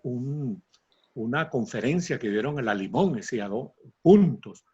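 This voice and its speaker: A man's voice: mid-range voice, lively intonation, measured pace, a little mellow, breathy edge. A man hosting a conversational interview, emphatic and repeating his phrases, on a dull lo-fi recording. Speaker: interview host. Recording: dull lo-fi sound.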